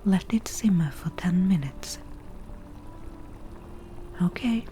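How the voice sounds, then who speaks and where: Slow whispering forest witch played by a woman. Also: on the low side, lively intonation, faint music behind. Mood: absorbed. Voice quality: soft, breathy whisper. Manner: slow, unhurried, murmuring. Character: forest witch brewing potions, confident, a little prickly. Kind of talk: whispered ASMR roleplay.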